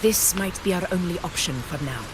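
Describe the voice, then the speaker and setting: A woman voicing a resolute female leader in a video game, grave, measured and formal. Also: lowish voice, noisy background, natural voice.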